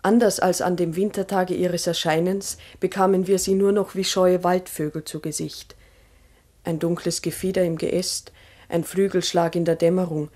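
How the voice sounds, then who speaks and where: Actress as a deliberate, wondering farmer's wife. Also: female voice, on the low side, mellow, natural voice. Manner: deliberate, literary, earnest storytelling. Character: farmer's wife, mother, wonderingly recounting strange happenings. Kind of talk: 1960s German radio play monologue, measured narration.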